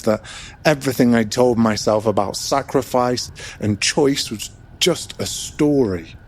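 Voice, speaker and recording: A man as a husky, guilt-ridden old vampire. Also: mid-range voice. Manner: soft, breathy, measured delivery. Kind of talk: audio drama, whispered ASMR.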